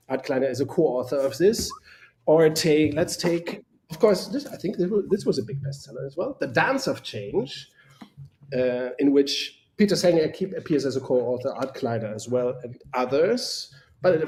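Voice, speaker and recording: A man's voice: mid-range voice, mellow. A man with a German accent, a native German speaker, co-hosting a casual live discussion in an enthusiastic, rambling way that often repeats words. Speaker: co-host.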